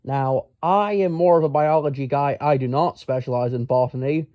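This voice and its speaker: A man telling a casual, rambling story in a pedantic, exasperated, halting manner. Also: medium pitch, no room sound, dull audio. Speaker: storyteller, amateur science enthusiast.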